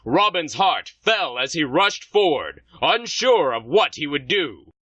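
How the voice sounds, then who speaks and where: A man reading scripted audio drama narration in a dramatic, expressive storytelling manner. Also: on the high side, measured pace, slightly rough, no echo, far from the mic, exaggerated voice. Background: music.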